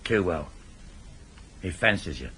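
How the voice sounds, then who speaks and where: A man's voice: sits low, animated, a little slow, pushed and bright, heavily acted. An actor playing a controlling middle-aged English burglar who instructs his gang insistently, in a naturalistic radio drama. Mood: cool, measured. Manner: instructive, insistent, repeats himself for emphasis. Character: wily, controlling veteran burglar, father running a small gang. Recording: noisy 1980s radio drama broadcast recording.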